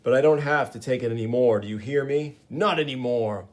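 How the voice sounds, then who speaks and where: A man voicing a touchy, shrill man in an ape suit who rants and pleads to be taken seriously. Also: a little fast, natural voice. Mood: ranting. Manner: whining, rising to frantic yells.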